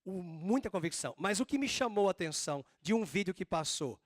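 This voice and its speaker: A man preaching a sermon as pastor, with a drawn-out Northeastern Brazilian accent and slow, emphatic stresses. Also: sits high, expressive, dry recording, clean studio sound. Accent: Northeastern Brazilian Portuguese.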